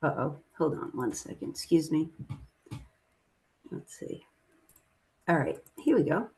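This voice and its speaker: A woman's voice: low-pitched, sing-song, unhurried, a little mellow, poor audio. Upbeat woman presenting a webinar. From United States. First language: Italian. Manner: upbeat, chatty. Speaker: webinar presenter, trade expert. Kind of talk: casual presentation. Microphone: video call.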